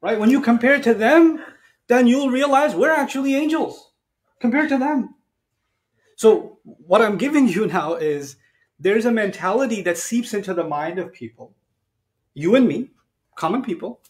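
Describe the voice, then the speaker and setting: This is a man around his forties with a notably high voice. He preaches like someone chatting across a table, animated and colloquial. He keeps tossing out rhetorical questions and slipping into acted-out asides. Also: normal speed. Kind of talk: conversational sermon with acted-out asides. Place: indoors at a lectern. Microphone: lapel mic.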